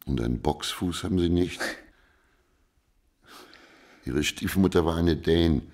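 Brusque middle-aged detective in a radio play, extremely low voice, dry no-nonsense delivery. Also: male voice, unhurried, a little mellow. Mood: firm, dryly reassuring. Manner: brusque, dry, matter-of-fact. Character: blunt, unsentimental, reassuring male private detective. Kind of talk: radio drama acting.

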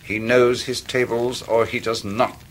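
A man's voice: medium pitch, level tone, measured pace, slight background noise, natural voice. An actor playing a cruel Victorian stepfather, stern, clipped and commanding, with an English accent in a radio drama. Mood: cold menace. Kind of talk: 1990s radio drama acting.

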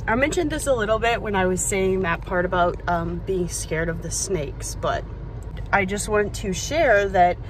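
A woman's voice: mid-range voice, a little hiss or hum, low-quality recording. A woman in her twenties vlogging candidly from a car, talking to a phone held at arm's length. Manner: chatty, candid, talks to her dog. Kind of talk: casual vlog chat. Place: car interior and outdoor beach. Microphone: phone camera at arm's length.

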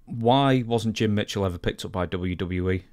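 A man in his thirties speaks in a deep voice, recorded very dry and close to the microphone. He sounds like a podcast co-host, casually going through news items and questions. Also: normal speed, a little mellow, dry recording. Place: small room full of posters and shelves, indoors. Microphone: broadcast microphone up close, headphones.

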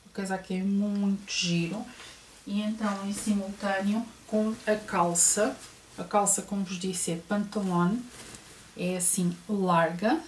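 A middle-aged woman with a European Portuguese accent talks slowly through a clothing haul, full of hesitations and little asides to her viewers. She is picked up by a camera across a bedroom, so her voice sounds distant and roomy. A faint hiss sits under it. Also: mid-range voice. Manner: chatty, hesitant, many fillers, addresses viewers. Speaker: fashion vlogger. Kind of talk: casual clothing haul show-and-tell. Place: bedroom at home, indoors. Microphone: camera across the room.

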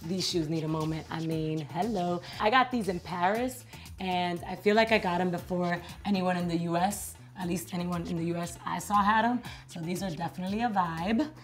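A woman's voice: fairly low pitch, brisk. Woman, 30s, from New York, chatty house tour vlog, playful asides, camera mic, faint music. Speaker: vlog host. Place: bright home interior, rooms of a house.

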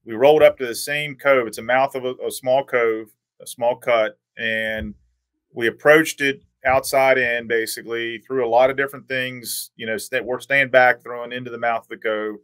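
A man in his forties talks casually as a podcast guest on a video call. His voice is mid-pitched with very little room echo. He is measured and repeats words to stress them. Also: steady tone, a bit bright, smooth. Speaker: podcast guest, tournament angler. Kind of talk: casual storytelling chat.

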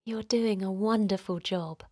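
A woman gently guiding a children's relaxation in a soothing, encouraging way, her voice softly breathy and hushed at times. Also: mid-range voice, steady tone, measured pace, no room sound, clean studio sound. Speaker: host of children's guided relaxation. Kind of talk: guided meditation narration for children.

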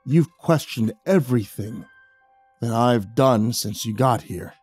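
A man's voice: medium pitch, animated, slow pace, darkish tone, slightly rough, no room sound, faint music behind. A man voicing a tired, guilty older father in a spoken horror story, sighing as he talks. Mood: weary.

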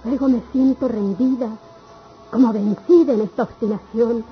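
Worried weary mother, muffled high voice, actress. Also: steady tone, normal speed, natural voice. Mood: weary, pitying. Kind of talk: old radio melodrama acting. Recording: dull lo-fi radio, faint noise.

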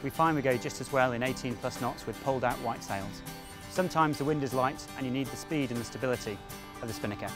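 A man's voice: mid-range voice, fast. A young man giving instructional explanations to camera as a sailing skipper, outdoors on a yacht. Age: young adult. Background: music.

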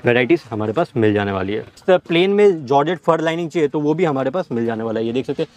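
A young man showing fabric stock on a warehouse floor, reeling off items in rapid salesman patter. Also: on the high side, a little mellow. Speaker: fabric seller. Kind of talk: product showcase walkthrough.

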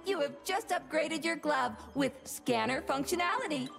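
Female robot guide, high pitch, heavy creak, courteous, formal, instructional, game announcement. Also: lively intonation, moderate pace, over music. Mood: polite, instructional. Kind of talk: scripted video game announcements.

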